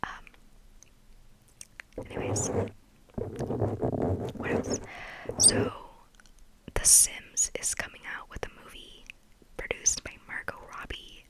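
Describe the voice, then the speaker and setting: A young woman from Texas chatting casually in a soft whisper for ASMR, full of "like" fillers. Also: slow, pauses often, low-quality recording. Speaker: ASMR creator.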